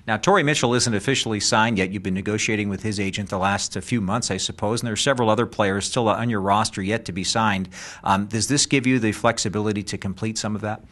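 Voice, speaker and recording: A man's voice: fairly low pitch, fast talker, a bit bright. A man with a polished announcer's delivery, conducting a sports interview as a radio broadcaster.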